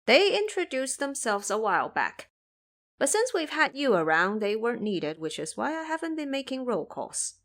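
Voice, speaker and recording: Woman voicing a brash, cocky monkey hero, very animated. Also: a little high, moderate pace, voice pushed up. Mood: confident. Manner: scolding, bossy, self-assured. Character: Xing Zhe, brash, cocky male monkey disciple.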